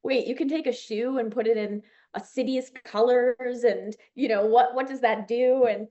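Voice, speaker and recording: A woman's voice: fairly high pitch, steady tone, normal speed, dark tone, muffled recording. A woman in her thirties with a Chicago accent, a company founder talking as a guest in an interview, chatty and enthusiastic with many fillers and restarts. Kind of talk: casual interview chat.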